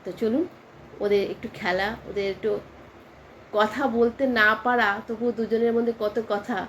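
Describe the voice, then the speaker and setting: A woman narrating a casual family vlog, speaking informally and haltingly with English words mixed in. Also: fairly high pitch, steady tone, a little hiss or hum. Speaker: family vlogger. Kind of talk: casual personal narration to viewers.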